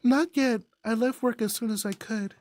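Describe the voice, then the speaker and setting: Woman playing a high-voiced, worried young daughter. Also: normal speed, a little mellow, lightly acted. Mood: surprised, polite. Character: Michelle, worried, polite, dutiful adult daughter.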